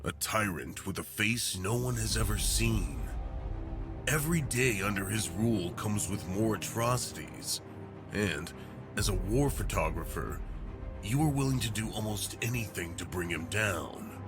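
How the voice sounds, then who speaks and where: A man reading scripted second-person story narration in a measured, hushed storytelling manner. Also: fairly low pitch, animated, over music.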